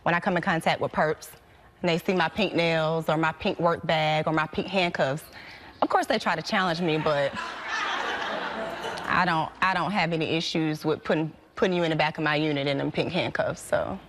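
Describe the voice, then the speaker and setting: A woman's voice: low-pitched, steady tone, fairly bright tone. Earnest woman, talk show guest. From American. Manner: earnest, talks with slight laughs, halting restarts. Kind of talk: casual talk show chat. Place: television studio.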